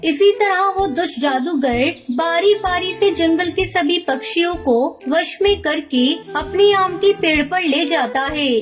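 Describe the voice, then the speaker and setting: Female narrator, extremely high voice, scripted children's storytelling, music in background.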